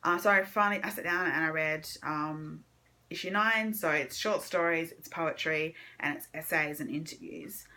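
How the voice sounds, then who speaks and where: A woman's voice: low voice, moderate pace, pushed and bright. A woman chatting casually as a book reviewer, enthusiastic but hesitant, rambling as she goes. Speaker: book reviewer on own video channel. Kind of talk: casual chat to camera, monthly reading wrap-up.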